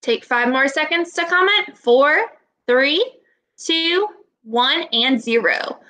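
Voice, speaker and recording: Woman, 20s, primary teacher on webcam. High-pitched, forceful delivery, clear instructions and encouragement aimed at young pupils. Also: lively intonation, slow. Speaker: elementary school teacher. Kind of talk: children's read-aloud video lesson, instructional. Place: small indoor room at home. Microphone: webcam or laptop microphone, video call recording.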